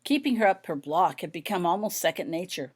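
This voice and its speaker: A woman's voice: lowish voice, animated, a little fast, husky. A woman reading her own story aloud as scripted audiobook narration.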